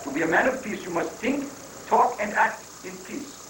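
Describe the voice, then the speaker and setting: A high-voiced, coaxing guru played by a man counsels patiently in stilted, halting phrases. It is a satirical play reading, heard from off the mic in an echoing, noisy room. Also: lively intonation, a bit bright. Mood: earnest counsel. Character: calm, coaxing male guru advising a president. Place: roomy indoor live reading, far from the mic. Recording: roomy, distant, noisy 1980s live recording.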